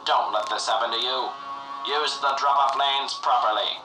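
A male narrator delivers an earnest, moralizing script like a 1950s classroom filmstrip announcer. His mid-pitched voice is pompous and sounds set back in a roomy space, with music playing behind him. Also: steady tone, normal speed, recorded from a distance.

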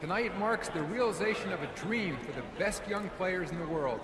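An actor playing a formal league official who announces draft picks at a ceremony in a video game. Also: male voice, sits high, animated, moderate pace. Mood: formal, proud. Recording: echoing arena PA, loud crowd noise.

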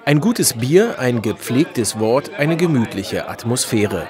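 A man's voice: mid-range voice, animated, slow pace. A man reading scripted promotional narration for a television report. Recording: voice-over with crowd sounds behind.

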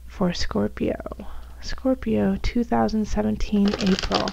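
A woman with a hoarse, raspy voice from bronchitis, gently giving a tarot reading straight to her viewers. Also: mid-range voice, muffled recording, noisy background. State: hoarse from bronchitis, voice coming and going. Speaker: tarot reader and channel host. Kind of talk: soft spoken tarot card reading to viewers.

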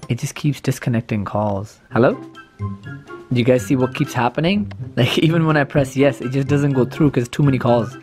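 Chatty young man vlogging to camera. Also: a little high. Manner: excited, fast-talking, chatty. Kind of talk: casual vlog talk to camera. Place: living room of a house, indoors. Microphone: handheld camera at arm's length.

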